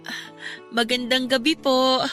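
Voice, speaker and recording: An actress playing a gentle, anxious young girlfriend, hesitant and polite, in a radio drama. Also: high voice, flat delivery, unhurried, faint music behind. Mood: timid, polite. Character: nervous, gentle young girlfriend meeting a hostile father.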